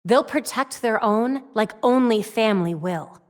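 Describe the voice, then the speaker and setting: A young woman with an American accent voicing a determined, quick-witted vigilante detective in a video game, focused and conversational. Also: fairly high pitch, no room sound, clean studio sound, lightly acted. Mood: focused, businesslike.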